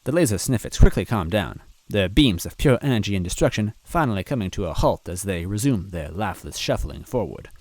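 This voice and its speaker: A man reading a story aloud in first person, with deadpan, mock-earnest narration. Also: lowish voice, fairly quick, few pauses, no room sound, faint music behind. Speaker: story reader.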